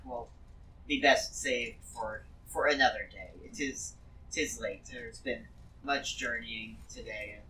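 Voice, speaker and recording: A man playing a polite, flustered adventurer who speaks formally and haltingly in a tabletop game. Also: medium pitch, sharp tone. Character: polite, flustered, formal-spoken male adventurer recounting his travels. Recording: distant mic with faint noise.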